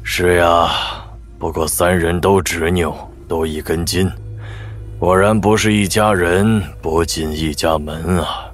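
A man's voice: low voice, fairly even intonation, takes it slowly, soft background music. An actor playing a laconic middle-aged master swordsman in an audio drama, musing and wry. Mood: wry, thoughtful.

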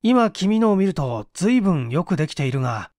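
Man playing blunt, gruff male engineer. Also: high voice, sing-song, moderate pace, darkish tone, dry recording, clean studio sound. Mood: serious. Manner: blunt, brusque.

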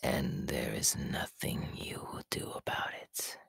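A man voicing a sinister, taunting male snake villain in an ASMR roleplay, with a breathy, raspy whisper and slow, gloating delivery, close to the mic. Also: fairly bright tone, dry recording. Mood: possessive menace.

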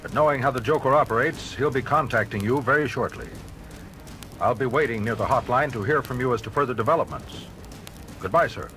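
A man's voice: medium pitch, fairly quick, fairly bright tone, natural voice. An actor playing a grave, authoritative male superhero crimefighter in a record radio drama, speaking formally and in clipped phrases. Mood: grave, businesslike. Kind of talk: radio-drama acting on a children's story record. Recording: vintage story record with dramatic music.

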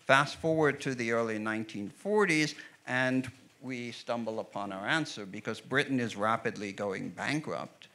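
American man explaining history, measured. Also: mid-range voice, sharp tone. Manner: measured, explanatory, with frequent fillers. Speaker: author and historian guest. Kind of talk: onstage conversation. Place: outdoor covered veranda stage. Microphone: handheld mic.

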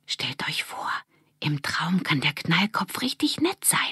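A woman's voice: low voice, very animated, measured pace, pushed and bright, breathy edge, no room sound, in a whisper, studio quality, heavily acted. A woman voicing a bossy, imaginative young girl who leads a gang, in an emphatic, conspiratorial children's audiobook style. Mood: whispering, secretive.

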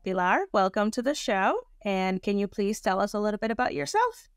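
A woman's voice: mid-range voice, big pitch swings, a little fast, clear, resonant tone, no room sound, soft background music. Woman host reading an introduction. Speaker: podcast host. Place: small home room. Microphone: headset on video call.

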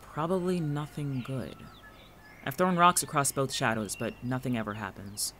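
A man's voice: mid-range voice, normal speed, bright, forceful tone, a little hiss or hum, natural voice. A man playing a guarded, watchful friend in an audio drama, speaking conversationally with dry, exasperated sarcasm. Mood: guarded, cautious. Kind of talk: naturalistic audio drama dialogue.

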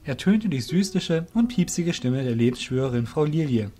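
A man's voice: on the high side, animated, normal speed, dark tone. A man giving scripted narration for a fantasy audio drama.

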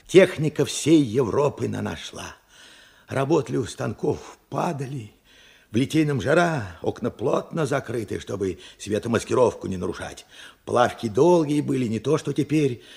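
An old steelworker father in a Soviet radio play. His voice is very husky and gravelly, swinging widely in pitch. He tells of his losses wearily, in short, halting phrases. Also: a little mellow, heavily acted. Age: older. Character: weary old widowed steelworker father recalling war losses. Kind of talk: Soviet radio drama acting.

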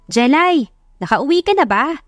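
A woman voicing a friendly, brisk older businesswoman in an audio drama, chatty and practical. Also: high-pitched, animated, dry recording, clean studio sound, faint music behind, pitched above the performer's own voice. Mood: friendly, businesslike. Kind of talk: Tagalog audio drama acting.

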